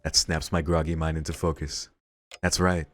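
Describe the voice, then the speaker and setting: A man with a low voice reads a visual novel aloud as a let's play host. His delivery is measured, like storytelling, and game music plays behind him. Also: level tone, fairly bright tone. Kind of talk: reading visual novel narration aloud with brief comments.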